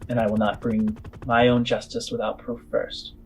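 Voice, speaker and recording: A man playing a shrewd, measured female alien informant in a tabletop game, speaking formally and haltingly over music. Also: on the low side, monotone, a little hiss or hum, lightly acted, rough recording. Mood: grave, deliberate.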